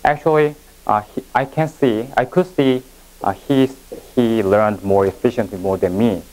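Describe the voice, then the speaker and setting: A man from Korea with a Korean accent, a non-native English speaker giving a classroom presentation in a halting way, often restarting his sentences. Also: lowish voice, a little mellow, low-quality recording. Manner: halting, with frequent restarts and second-language phrasing.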